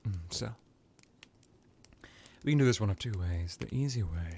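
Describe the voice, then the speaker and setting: A man voicing a seductive, menacing vampire necromancer in an intimate ASMR hypnosis roleplay, purring deliberately and slipping into whispers. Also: on the low side, lively intonation, dark tone, slight background noise. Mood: coaxing menace.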